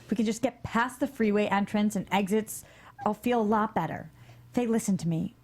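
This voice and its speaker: A woman's voice: mid-range voice, a little fast, darkish tone, natural voice. A woman actor at a screenplay table read playing a cool, tough woman on the run with dry, teasing bluntness. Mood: guarded, practical. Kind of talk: live table read of a crime comedy screenplay.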